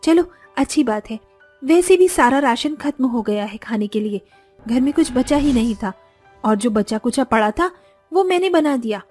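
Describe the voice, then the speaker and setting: High-pitched nagging greedy wife played by a woman. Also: animated, normal speed, darkish tone, soft background music. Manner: scolding, exclamatory.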